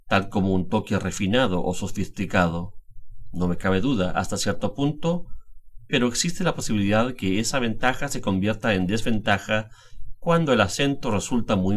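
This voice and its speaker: Calm Chilean man, lowish voice. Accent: Chilean Spanish. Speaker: language teacher, guest speaker. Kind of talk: scripted instructional narration.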